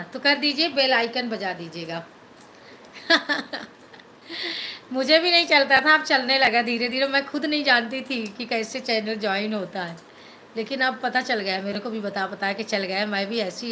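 A middle-aged woman from Madhya Pradesh chats through her phone on a live stream, greeting viewers and thanking them over and over. Her voice is bright and sits a little high, with a faint hiss of room noise under it. She is recorded in a small indoor room. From Madhya Pradesh, India. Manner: chatty, thanks viewers over and over, mixes English into Hindi. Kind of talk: casual live-stream chat, reading and answering comments. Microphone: phone held at arm's length.

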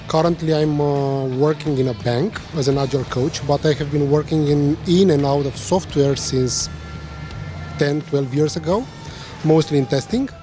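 A man's voice: a little high, moderate pace, music in the background. Man giving a conference talk. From Romania. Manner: many "uh" fillers and restarts, explaining to a crowd. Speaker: conference speaker. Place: large lecture hall stage.

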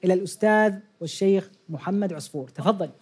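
Male TV host, high-pitched, brisk, formal introductions. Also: darkish tone, breathy edge. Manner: formal, welcoming. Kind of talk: show hosting.

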